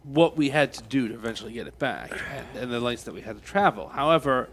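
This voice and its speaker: A man playing his character in a tabletop game, explaining himself earnestly and deliberately. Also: medium pitch, lively intonation, fairly bright tone, faint music behind. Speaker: player.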